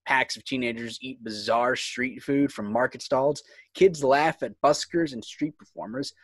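A man's voice: mid-range voice, unhurried, slightly rough, no echo. A man reading screenplay stage directions as the narrator of a script table read.

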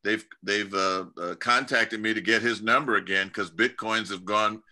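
Bright-toned middle-aged man hosting a video call. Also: on the low side, moderate pace. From California. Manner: relaxed, rambling, many restarts and fillers. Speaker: host of church community video-call talk. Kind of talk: casual interview chat. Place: home study lined with bookshelves. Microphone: video call webcam.

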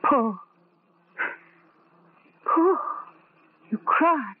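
A woman's voice: sits high, lively intonation, very slow, heavily acted. An actress playing a tender, devoted young woman in love, earnest and emotional, in an old-time radio drama with tinny sound. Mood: pleading, tearful.

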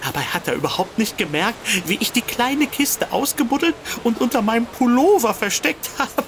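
A scheming, self-congratulating woman in a comic radio play. Her voice is on the high side and swoops with glee. A faint background noise runs underneath. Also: lively intonation, normal speed. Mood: gloating. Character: gleeful, scheming woman gloating to herself. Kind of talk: comedic audio drama monologue.

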